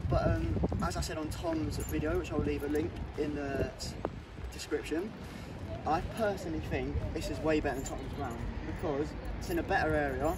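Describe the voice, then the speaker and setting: A man's voice: a little high, fairly quick. A young man from London giving blunt, chatty ratings of football grounds while walking outdoors, filmed on a phone camera. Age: young adult. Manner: chatty, blunt, filler-heavy rating talk. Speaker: vlogger. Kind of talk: casual vlog commentary. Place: outdoors on city streets by stadiums. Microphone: phone camera, selfie and handheld. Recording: loud outdoor street noise.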